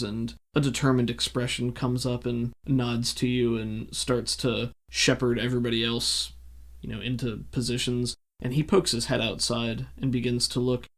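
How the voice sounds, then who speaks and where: A man with a gravelly voice, running a tabletop roleplaying game as game master, telling the story and explaining in a deliberate way. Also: medium pitch. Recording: voice over background music.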